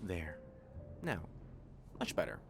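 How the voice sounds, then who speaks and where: A man acts out a composed, thoughtful catboy waiter in a cafe ASMR roleplay. His voice sits fairly low but moves expressively, gentle and reassuring, over clear background music. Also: huge pitch swings, slow pace, full character voice. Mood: soothing. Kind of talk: ASMR roleplay, addressing the listener. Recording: music behind the voice.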